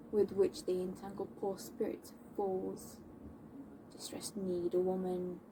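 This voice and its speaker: A young woman playing a defiant, scornful tomboy of seventeenth-century London in a classical stage monologue, declaiming indignantly with dramatic pauses. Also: mid-range voice, vocal fry. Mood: contemptuous. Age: young adult. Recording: distant mic with faint background noise.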